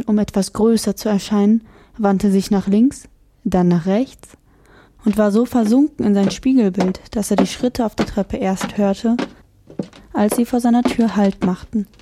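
A woman reading a children's novel aloud as the narrator, expressive and lively. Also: mid-range voice, unhurried. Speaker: audiobook narrator. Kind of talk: scripted storybook narration.

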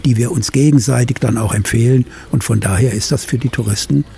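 An older man with a Rhineland German accent, a winery owner answering interview questions haltingly, with many filler sounds, outdoors at a vineyard. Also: mid-range voice, vocal fry, warm tone, breathy edge. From Middle Rhine, Germany.

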